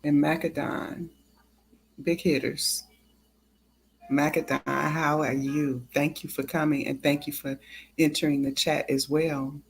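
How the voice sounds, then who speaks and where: Slow, halting livestream host of unclear sex. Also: mid-range voice, level tone, creaky, slight background noise. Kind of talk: casual livestream talk.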